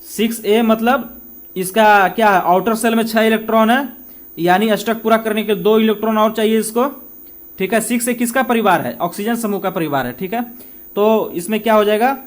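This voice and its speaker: A man's voice: high-pitched, brisk. A man works through practice questions as a coaching teacher. He is informal and conversational, putting questions to the listener and answering them himself, and he slips between Hindi and English. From India.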